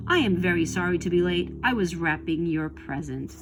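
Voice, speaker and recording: A woman voicing a kindly, calm male frog in a children's storybook, speaking in a measured, gentle way. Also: on the low side, noisy background, lightly acted. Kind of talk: children's storybook read-aloud.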